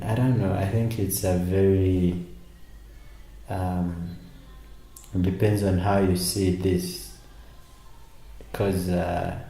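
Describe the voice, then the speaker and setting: Low slow guy, kinda gravelly, lots of um and uh, echoey room. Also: dark tone, slight background noise. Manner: hesitant, many fillers. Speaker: interviewee. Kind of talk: reflective casual talk. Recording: echoey room with faint ambience.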